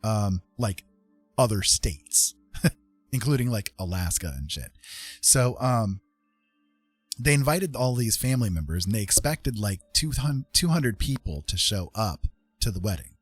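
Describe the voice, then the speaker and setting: Male streamer, slightly deep and rough-edged, rambling informally through a personal anecdote, music underneath. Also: expressive, measured pace, no room sound. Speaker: livestream host. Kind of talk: casual rambling storytelling.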